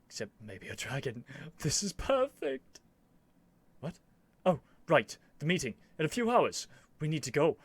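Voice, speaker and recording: A man playing an earnest, nervous young prince devoted to a dragon, in a halting, emotional ASMR roleplay addressed to the listener. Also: fairly high pitch, wildly swooping pitch, exaggerated voice. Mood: giddy, overjoyed. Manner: halting, stammering, breathy sighs and whispers, emotional.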